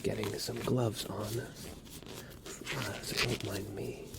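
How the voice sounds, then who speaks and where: A man whispering softly and soothingly as a reassuring doctor in an ASMR exam roleplay. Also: on the low side, flat delivery, very slow, very noisy. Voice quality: whispered, breathy soft voice. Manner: gentle, soothing, reassuring instructions. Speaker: ASMR performer playing a doctor.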